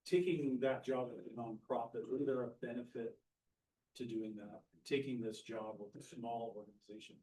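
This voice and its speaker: A man's voice: mid-range voice, fairly even intonation, normal speed, dark tone, poor audio. A man, the class instructor, talking conversationally in a classroom and asking a guest speaker probing follow-up questions.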